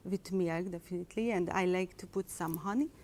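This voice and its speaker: A woman's voice: on the low side, fairly quick, darkish tone. Giggly woman speaking English. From Hungary. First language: Hungarian. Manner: giggly, short answers, non-native English. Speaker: spa owner, guest. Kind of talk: casual radio interview.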